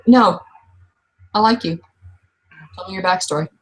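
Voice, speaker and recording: A woman's voice: fairly low pitch, measured pace, rough recording. A woman in a casual tabletop roleplaying session, speaking conversationally both in character and as game master.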